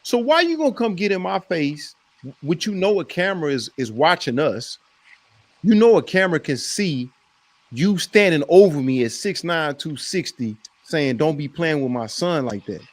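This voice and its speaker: An American man with a high voice for a male speaker hosts a heated sports debate. His delivery is very animated and emphatic, hammering phrases by repetition. The recording is dry and close. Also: moderate pace, no echo. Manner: emphatic, repeats phrases for effect. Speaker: host of a sports debate show.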